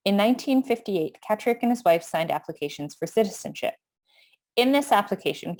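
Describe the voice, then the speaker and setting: A woman with a Canadian accent reads out a prepared history talk in a slightly low voice. Her delivery is steady and measured, with the odd small stumble over a word. She sounds like a lecturer working through her notes. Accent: Canadian English. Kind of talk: prepared lecture read aloud.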